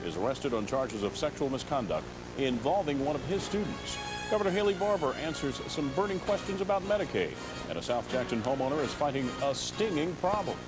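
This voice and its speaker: A man's voice: mid-range voice, expressive, brisk, poor audio. A man reading scripted local television news as the anchor of a broadcast. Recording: loud music under the voice.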